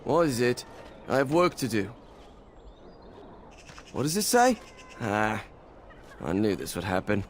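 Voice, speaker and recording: A harried fantasy townsman in a video game. He speaks slowly with wide pitch swings and a grudging, resigned manner, over faint noise. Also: big pitch swings. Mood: irritated, resigned.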